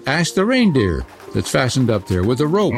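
A man voicing wood pigeons in a storybook, speaking slowly and solemnly. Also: mid-range voice, big pitch swings, soft background music, voice pushed up. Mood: telling. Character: gentle cooing wood pigeons.

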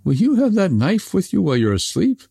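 A man's voice: sits high, huge pitch swings, a little fast, creaky, mellow, husky, no room sound, studio quality, faint music behind, voice pushed up, full character voice. A man voicing a frightened little girl who speaks anxiously and pleadingly.

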